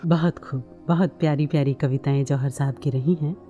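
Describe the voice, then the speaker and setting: A woman's voice: low voice, steady tone, a little fast, mellow, faint music behind. A woman hosting a devotional radio interview, attentive and affirming as she listens and asks questions. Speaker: radio programme host.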